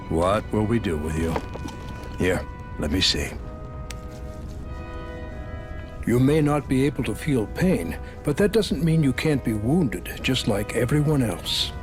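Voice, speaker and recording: An actor playing a gruff, caring, world-weary doctor in a video game, measured and gently scolding. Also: male voice, mid-range voice, close to an everyday voice. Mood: concerned scolding. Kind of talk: video game cutscene voice acting.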